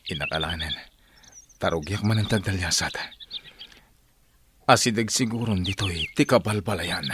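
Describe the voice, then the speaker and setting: An actor playing a devout, peaceable travelling preacher in a radio drama. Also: male voice, lowish voice, natural voice. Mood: uneasy, then relieved. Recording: noisy old radio drama recording.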